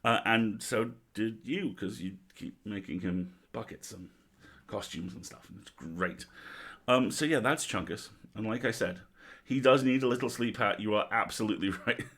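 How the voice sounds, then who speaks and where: A man's voice: fairly low pitch, normal speed, fairly bright tone. A man hosting a livestream in casual chat, with a wry, jokey, playful manner. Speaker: livestream host.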